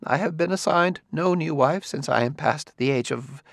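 Male narrator putting on a fussy, squeamish older scholar; high, wavering, gravelly edges, dry close sound. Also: huge pitch swings, moderate pace, no room sound, full character voice. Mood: uneasy. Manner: high, shrinking, hesitant delivery. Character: nervous aging male sociologist, fussy and squeamish.

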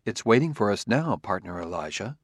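A man plays an impassive robot in an audiobook, speaking in a low, polite murmur. The delivery is measured and formal, with a calm that does not waver, in a dry, close recording. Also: no room sound. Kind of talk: audiobook character acting.

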